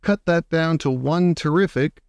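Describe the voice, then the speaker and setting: A man voicing a female spider in a storybook, brisk, orderly and slightly impatient as she runs things. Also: on the high side, darkish tone, clear, resonant tone, no echo. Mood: businesslike. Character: female spider, brisk bossy meeting leader.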